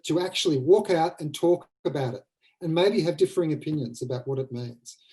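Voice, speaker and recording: A man's voice: fairly high pitch, dark tone, poor audio. A man speaking as a playwright guest in a casual panel discussion, reflective and emphatic. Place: indoors, online video call.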